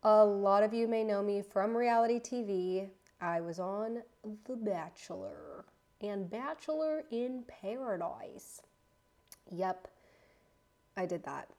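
A woman's voice: medium pitch, a little mellow. Nervous, chatty woman in her thirties. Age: 30s. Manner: chatty and rambling, with restarts and self-corrections. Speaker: podcast host. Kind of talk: casual solo podcast chat. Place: her apartment, indoors.

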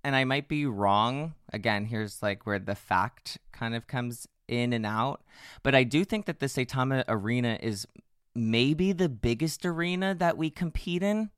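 A man with a hoarse voice co-hosting a podcast, chatting playfully and poking fun at himself. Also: mid-range voice, fairly bright tone, no echo, studio quality. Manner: playful, self-mocking, quick asides. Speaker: podcast co-host. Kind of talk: casual chat.

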